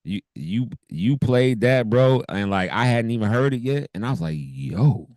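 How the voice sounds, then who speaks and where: A man co-hosting a podcast, chatting casually with loose slang and frequent laughs, his deep voice hoarse from a cold. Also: animated, moderate pace, a little mellow, no echo, studio quality. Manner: laid-back, laughs often, loose slang. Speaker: podcast co-host. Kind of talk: casual chat.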